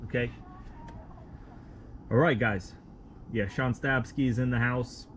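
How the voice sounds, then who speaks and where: A man's voice: mid-range voice, fairly even intonation, takes it slowly, fairly bright tone. Man from russia, russian native speaker, live stream host, laid-back and slangy, rambling with fillers, faint outdoor noise at night. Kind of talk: casual live stream chat, reading viewer comments.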